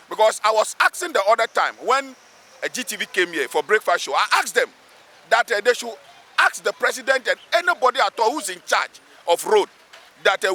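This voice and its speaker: A man's voice: high voice, very animated, moderate pace, pushed and bright. A man with a Ghanaian accent speaking emphatically as a local assemblyman in an outdoor interview, repeating phrases for weight. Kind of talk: spoken interview, pleading advocacy. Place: outdoors beside a road underpass.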